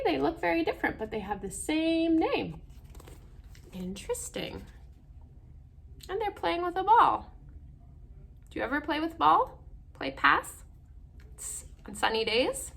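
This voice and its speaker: A woman hosting a children's story time, reading aloud and explaining gently in simple words, often putting questions to her young listeners. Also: high-pitched, vocal fry.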